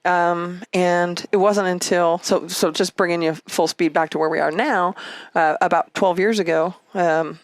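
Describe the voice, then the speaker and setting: A woman's voice: lowish voice, steady tone, unhurried, hardly any pauses, no room sound. A woman in her fifties from Canada, telling her life story as an interview guest in a casual, chatty and reflective way. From Canada and Egypt. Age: 50s.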